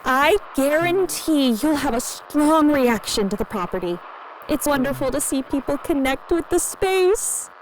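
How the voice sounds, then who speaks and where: An actress playing an eerily cheerful, inhuman realtor in an audio drama, answering with polite, evasive sales patter. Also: female voice, sits high, expressive, a little slow. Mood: pleasant, insistent. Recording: noisy interview recording with ambience.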